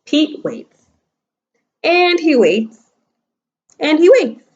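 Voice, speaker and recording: Woman, primary teacher, storybook read-aloud, highish voice, very lively intonation, sing-song delivery, slightly dull recording. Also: extremely slow. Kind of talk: read-aloud picture book lesson for young children.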